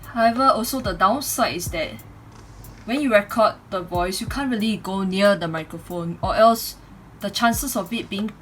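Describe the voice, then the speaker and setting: A woman's voice: mid-range voice, normal speed, fairly bright tone, low-quality recording. A woman in her twenties with a Singaporean accent, speaking as an amateur reviewer into a microphone in a room at home. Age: 20s. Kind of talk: casual microphone review with read-aloud speech samples. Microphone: USB condenser microphone. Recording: home USB microphone recording, clear background noise.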